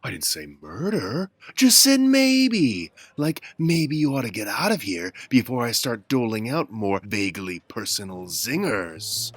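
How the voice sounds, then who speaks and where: An actor playing a sardonic mob boss of the docks in a 1940s radio parody, taunting, wisecracking and menacing. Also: male voice, medium pitch, big pitch swings, a little slow, hardly any pauses, a bit bright, dry recording.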